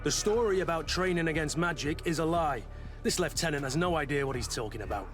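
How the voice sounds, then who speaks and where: An actor playing a blunt, commanding guard captain with a clipped, direct and sardonic manner in video game dialogue. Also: male voice, fairly high pitch, a little fast, music in the background, natural voice. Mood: suspicious.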